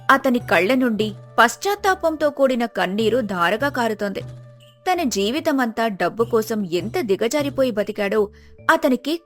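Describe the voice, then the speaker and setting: Woman telling a moral story, highish voice, bit of music behind her. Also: a bit bright. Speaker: storyteller narrator. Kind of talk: scripted narration of a Telugu moral tale.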